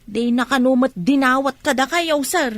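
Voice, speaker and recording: Woman acting gossipy maid type, respectful, higher voice, close dry sound, radio drama. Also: moderate pace, no echo, natural voice. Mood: gossipy, deferential.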